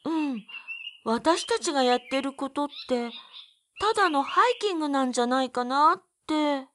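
An actress playing a shy, gentle young woman who loves plants in a Japanese audio drama, speaking softly and earnestly. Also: high-pitched, lively intonation, normal speed. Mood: hesitant, pondering.

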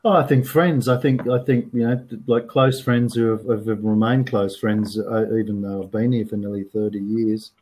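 A man's voice: lowish voice, steady tone, normal speed, hardly any pauses, a little mellow. A man in his sixties with an Australian accent, chatting frankly as an interview guest and often repeating words as he thinks. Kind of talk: casual interview chat.

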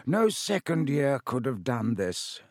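A man voicing an old male headmaster, soft, slow and calm, in a dry recording. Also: medium pitch, dry recording.

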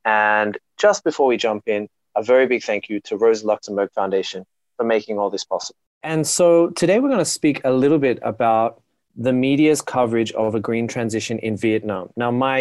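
A man's voice: mid-range voice, moderate pace, darkish tone, no echo. A man hosting a casual interview podcast, joking and chatty, with lots of "kind of" fillers. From Australia. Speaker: podcast host.

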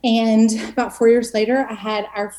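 A woman's voice: on the high side, level tone, moderate pace, dark tone, slight background noise. A woman in her forties from East Texas, a podcast guest telling her story in a chatty way that often laughs at herself. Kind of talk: casual conversational storytelling.

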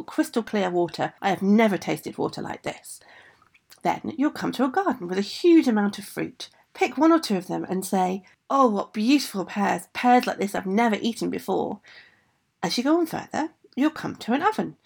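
Fairy-tale King of magic read by a woman: stern, admonishing, deliberate, with creaky delivery. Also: mid-range voice, a little mellow. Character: stern magical King of Love giving instructions.

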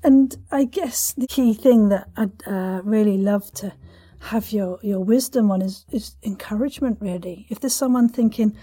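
Mellow, husky woman interviewer. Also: medium pitch, normal speed. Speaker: podcast host, interviewer. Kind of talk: casual interview chat.

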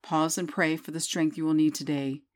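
A woman leading a guided prayer meditation, reciting scripture in a slow, soothing way. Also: low-pitched, fairly even intonation. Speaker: prayer leader.

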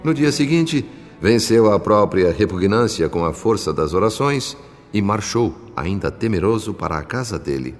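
A Brazilian man narrating a scripted radio drama in a formal, measured manner. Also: on the low side. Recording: long reverb, music behind.

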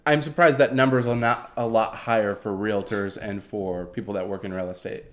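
A man's voice: on the low side, steady tone, normal speed. A man talks in a podcast conversation. He steers the talk with curious, prompting questions and sums up what he hears.

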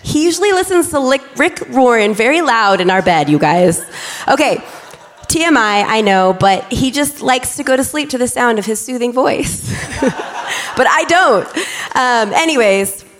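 A young adult woman preaching a lively, excitable sermon to her congregation from a church stage. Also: fairly high pitch, moderate pace. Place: large church auditorium. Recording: reverberant church hall.

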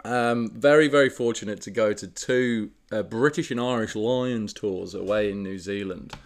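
A man co-hosting a casual podcast, telling a story in a relaxed, chatty way with frequent fillers. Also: on the low side, normal speed. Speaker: podcast co-host. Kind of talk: casual chat.

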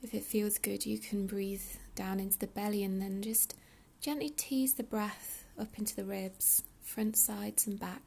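Soft woman guiding meditation, slightly gravelly. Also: medium pitch, steady tone. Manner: soothing, unhurried, breathy soft delivery with pauses. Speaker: meditation guide, yoga teacher. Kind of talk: guided meditation.

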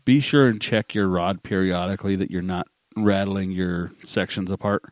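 A man's voice: sits low, steady tone, moderate pace, no echo, clean studio sound. A man co-hosting a casual podcast, enthusiastic and calling everyone "dude". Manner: enthusiastic, says "dude" a lot. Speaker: podcast co-host. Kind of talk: casual chat.

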